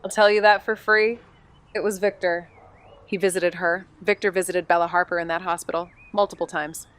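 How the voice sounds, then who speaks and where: A woman's voice: fairly low pitch, fairly even intonation, moderate pace, fairly bright tone, a little hiss or hum, lightly acted. Actress as blunt, wry young female sleuth. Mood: urgent insistence. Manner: casual, wry, insistent. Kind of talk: scripted audio drama dialogue and narration.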